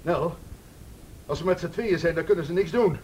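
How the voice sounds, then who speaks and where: A man's voice: moderate pace, darkish tone, natural voice. An actor playing a menacing, desperate man who bullies and pleads with his partner in a radio play, in a coarse, commanding way. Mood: pleading. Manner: coarse, commanding, swinging between threats and pleading. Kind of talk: Dutch radio play acting. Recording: noisy radio play recording with rumble.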